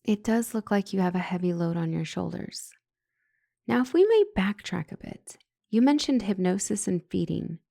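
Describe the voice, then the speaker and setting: Mellow young woman therapist, easily flustered, acted. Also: medium pitch, expressive, moderate pace, vocal fry, no room sound, studio quality. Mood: professional. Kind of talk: comedic audio roleplay acting.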